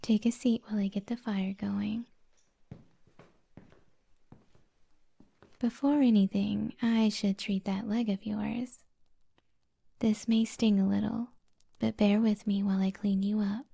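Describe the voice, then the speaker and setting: Woman playing a breathy, husky, gentle witch. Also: mid-range voice, little pitch movement. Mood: soothing, caring. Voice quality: breathy, half-whispered. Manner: slow, soothing, gently teasing. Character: kind, flirty, teasing witch healer in the woods. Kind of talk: soft-spoken ASMR roleplay.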